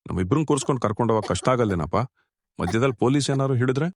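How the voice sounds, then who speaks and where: A man's voice: medium pitch, expressive, rapid-fire, darkish tone, no room sound, studio quality, close to an everyday voice. A man voicing an anxious, considerate middle-aged husband in a cartoon story, pleading and fatherly. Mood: worried. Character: anxious, considerate older husband seeking help. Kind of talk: cartoon short story dialogue.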